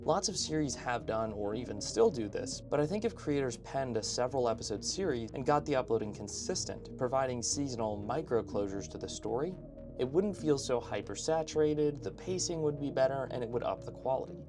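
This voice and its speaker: Man, mid-range pitch, casual video essay host, opinionated, informal asides, scripted commentary, music behind the voice. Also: animated, a little fast. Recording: background music under voice-over.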